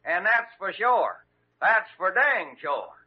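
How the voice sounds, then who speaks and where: An actor playing a stubborn, gallant old-West cowboy with an American Western drawl and homespun slang, in old radio drama style. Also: male voice, high-pitched, sing-song, slow, slightly rough, soft background music, heavily acted. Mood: defiant. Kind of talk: 1940s radio drama acting. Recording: dull vintage radio recording.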